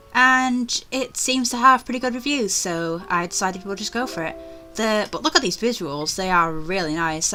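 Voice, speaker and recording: Girl gamer doing a lets play, bright punchy voice, chatty n excitable, bit of music behind. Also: mid-range voice. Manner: excitable, chatty, easily startled. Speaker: host of a gaming let's play. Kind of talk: casual let's-play commentary, reading on-screen text aloud.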